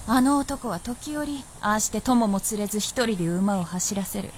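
An actress playing a sly, bold princess of feudal Japan in a period radio drama, with poised, archaic speech. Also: female voice, mid-range voice, lively intonation, moderate pace, a little hiss or hum, lightly acted. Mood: frank, bossy.

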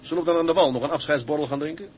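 An actor playing a sturdy, ambitious first mate on a sea tug in a Dutch radio drama, hearty and conversational. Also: male voice, a little high, racing, a little mellow, slight background noise, lightly acted. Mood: hearty, coaxing.

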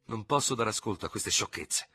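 Man playing a scornful, forceful Norman officer. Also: on the low side, lively intonation, a little fast, slightly rough, voice pushed up, exaggerated voice. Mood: disdainful. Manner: disdainful, reasoning, exasperated. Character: level-headed, scornful Norman cavalry lieutenant, irritated but self-controlled.

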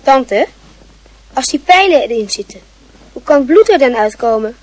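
A woman's voice: sits high, lively intonation, moderate pace, darkish tone, dry recording, rough recording. A woman playing a curious small child in a radio play, earnest and inquisitive. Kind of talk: Dutch radio play acting.

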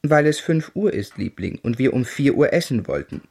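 A male actor playing an earnest, gently exasperated young husband in a literary radio play, measured and articulate. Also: mid-range voice, big pitch swings, vocal fry, slightly rough, dry recording, exaggerated voice. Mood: patient, exasperated. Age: young adult.

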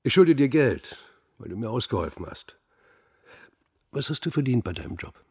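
An older actor playing a gruff, laconic but kindly old man in a radio drama, speaking matter-of-factly. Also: mid-range voice, very animated, normal speed. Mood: gruffly generous.

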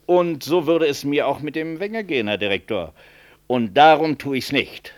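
An actor playing a scheming, formally deferential medical professor, speaking in hedging, circuitous phrases in a radio drama. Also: male voice, a little high, moderate pace, lightly acted. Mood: oily, pressing. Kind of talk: 1960s German radio drama acting.

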